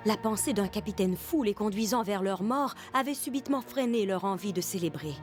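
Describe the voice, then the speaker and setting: A woman's voice: medium pitch, a little fast, close to an everyday voice. A woman narrating a children's cartoon story from a script. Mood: storytelling. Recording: music underneath.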